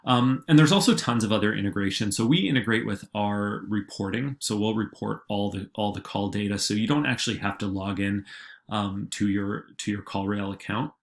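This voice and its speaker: A man giving an informal software tutorial as a presenter, explaining in a halting way with frequent filler words. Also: lowish voice. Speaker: digital marketing agency presenter.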